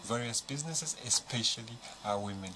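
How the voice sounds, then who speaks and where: A man's voice: medium pitch, a little fast, heavy background noise. A man with a Zambian accent explaining points as a guest expert in a television interview. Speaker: central bank guest. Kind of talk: panel interview, explanatory talk. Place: TV studio.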